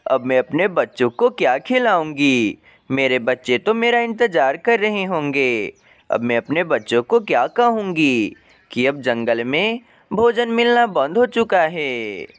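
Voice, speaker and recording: A man's voice: fairly high pitch, sing-song, unhurried, voice pushed down. A man voicing a worried, sorrowful mother bird in a cartoon moral story, lamenting and pleading tenderly. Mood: despairing. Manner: plaintive, lamenting.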